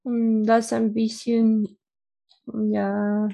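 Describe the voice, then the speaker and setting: Mexican woman, native spanish, mellow slightly high voice w lots of fry, hesitant casual chat. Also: completely flat delivery, drawn-out words. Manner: hesitant, searching for words in German. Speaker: interviewee.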